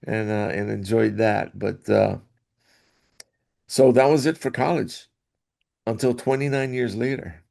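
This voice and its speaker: A middle-aged man from Hawaii, a guest in an interview, telling his story in a rambling way with restarts and soft laughs. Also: on the low side, fairly even intonation, unhurried, vocal fry, pauses often. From Hawaii, Oahu. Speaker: guest, athletic director association executive. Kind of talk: casual interview talk.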